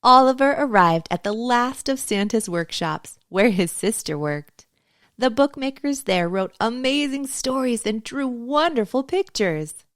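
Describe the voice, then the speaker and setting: A woman reading a picture book aloud to young children as a storytime host, in an expressive, deliberate way. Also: fairly high pitch, dry recording, studio quality.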